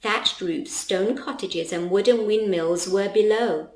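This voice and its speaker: A woman reading a children's story aloud as an expressive storyteller. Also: mid-range voice, measured pace. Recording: roomy, echoing voice recording.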